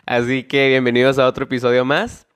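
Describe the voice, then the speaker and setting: A man's voice: medium pitch, level tone, fairly quick, smooth. A man with a stuffy nose from a cold, hosting a solo history podcast in a casual way that often adds little tag questions. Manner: casual, frequent tag questions and small restarts. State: nasal congestion from a cold. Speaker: podcast host.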